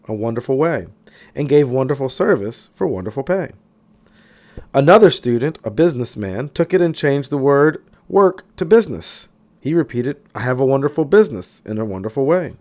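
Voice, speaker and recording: Man reading self-help with lively, swinging intonation. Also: mid-range voice. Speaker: audiobook reader. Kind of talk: scripted audiobook narration of a self-help text.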